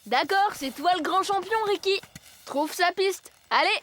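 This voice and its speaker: A woman's voice: very high-pitched, a little slow, fairly bright tone. A woman voicing a friendly young jungle boy who coaxes and leads directly in a French cartoon dub. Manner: coaxing, direct. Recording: music behind the voice.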